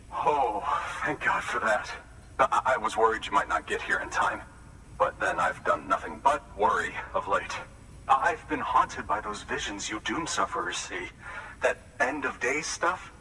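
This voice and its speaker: An anxious researcher devoted to his work in a video game cutscene. His voice is fairly low and very animated, confiding and expressive. The sound is slightly distant. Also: creaky, background noise. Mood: relieved, worried.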